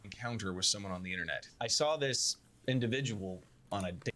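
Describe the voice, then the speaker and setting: A man's voice: fairly low pitch, fairly quick, pushed and bright. A man delivering a prepared video statement to camera in an earnest, self-justifying way, with formal, repetitive phrasing. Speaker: video creator giving a response statement.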